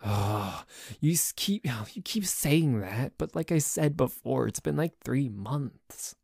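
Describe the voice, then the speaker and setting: A man's voice: medium pitch, expressive, slow pace, vocal fry, a little mellow, husky, no echo, studio quality, exaggerated voice. A man playing a dorky, jealous boyfriend in an ASMR roleplay, complaining in a stammering, exasperated way that sometimes drops toward a whisper. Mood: exasperated, defensive. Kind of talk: ASMR roleplay audio drama.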